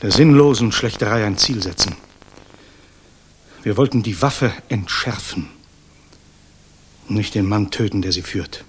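A grave German resistance saboteur in a vintage radio play. His voice is low and somewhat husky. He speaks in solemn, deliberate phrases with weighty pauses, guilt-ridden yet fervently idealistic. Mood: remorseful, earnest. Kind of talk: 1960s German radio drama acting.

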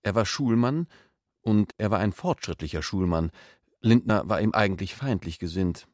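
A man narrating a literary radio play in a measured, deliberate manner. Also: on the low side, animated, darkish tone, no room sound, clean studio sound.

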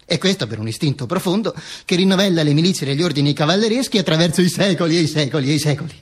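A male actor playing a dead dictator's corpse as a bombastic, sardonic, macabre storyteller in a theatrical radio monologue, declaiming with halting stammers. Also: a little high, expressive, breathy edge. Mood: pompous.

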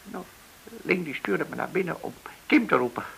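An actor playing a frightened, cornered banker in a radio drama, halting and anxious as he pleads. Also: sits high, very animated, vocal fry, fairly bright tone, slightly rough, heavily acted, poor audio. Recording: hissy old radio play recording.

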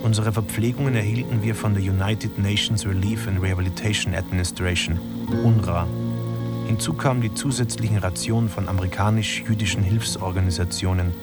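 A man playing a very low-voiced camp survivor. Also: fairly even intonation, a little fast, loud music behind the voice, close to an everyday voice. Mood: recounting. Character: male camp survivor, father of two. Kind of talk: documentary radio play testimony.

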